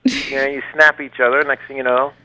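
Bright-voiced joking male guest. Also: medium pitch, little pitch movement, fairly quick, rough recording. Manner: playful, mock-serious teasing. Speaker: comedian guest. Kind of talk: joking banter.

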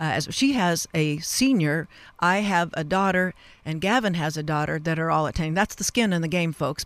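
A middle-aged woman hosting a radio interview, speaking in a halting, rambling way and often interrupting her own questions. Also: deep voice, no echo. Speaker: radio show host. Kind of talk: unscripted interview moderating. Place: radio studio.

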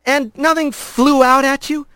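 A man voicing a nervous, quick-witted young male rat who speaks hesitantly and politely. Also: very high voice, fairly even intonation, sharp tone, no room sound, studio quality, pitched above the performer's own voice, heavily acted. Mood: careful.

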